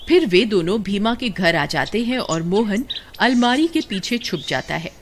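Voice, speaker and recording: Animated cartoon story narrator. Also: mid-range voice, unhurried, slight background noise. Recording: loud music behind the voice.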